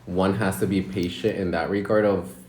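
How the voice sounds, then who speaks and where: A man's voice: low voice, steady tone, moderate pace, a little mellow. A man co-hosting a casual podcast, telling candid personal stories and laughing often. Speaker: podcast co-host. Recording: echoey room.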